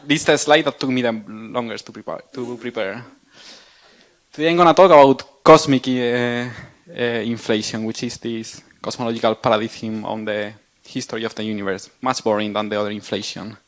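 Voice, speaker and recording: A man's voice: mid-range voice, fairly even intonation, moderate pace, rough recording. A man in his twenties from southern Spain with a Spanish accent, giving a casual, self-deprecating public lecture in a lecture room. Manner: friendly, self-deprecating, joking with audience. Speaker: guest speaker.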